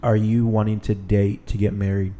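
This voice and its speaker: A thirty-year-old man talks easily on a podcast, his voice low and mellow. He rambles a little, drifting through fillers before landing his point. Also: level tone, normal speed. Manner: laid-back, trailing off with fillers. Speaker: podcast host. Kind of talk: casual chat.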